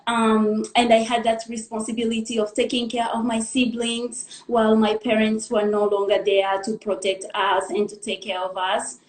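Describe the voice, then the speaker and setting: A young woman from the Democratic Republic of the Congo tells her life story as a guest in a live interview, in an earnest, halting way, pausing to gather her thoughts. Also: on the high side, flat delivery, roomy sound, low-quality recording. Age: young adult.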